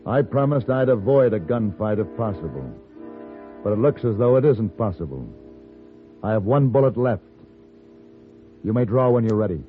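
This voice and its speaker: An actor playing a courtly, self-assured gentleman gunfighter with polished phrasing, in 1950s radio western style. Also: on the low side, expressive, moderate pace, pauses often, music in the background, natural voice. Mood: coolly resolute. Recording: tinny narrow-band old radio broadcast.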